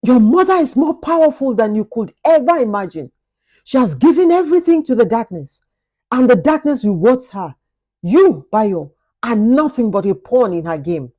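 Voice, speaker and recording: A woman's voice: fairly high pitch, sing-song, moderate pace, mellow, pitched above the performer's own voice. Woman putting on a sinister, taunting evil maid. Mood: menacing. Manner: taunting, menacing. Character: sinister, mocking evil maid with dark powers.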